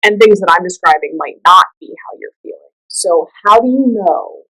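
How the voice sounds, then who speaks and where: A woman with a slightly low-pitched voice guides a meditation. She speaks in a soothing, conversational manner, offering gentle instructions and leaving the listener room to follow without hurry. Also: expressive, normal speed, rough recording.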